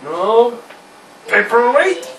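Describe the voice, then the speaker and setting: A man's voice: extremely high pitch, very animated, drawn-out words, distant mic, heavily acted. A man voicing a pushy pig shopkeeper with a chuckling salesman's patter, in an amateur home puppet show. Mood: salesy. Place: indoor room with a puppet stage. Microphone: far camera. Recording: roomy noisy home video from afar.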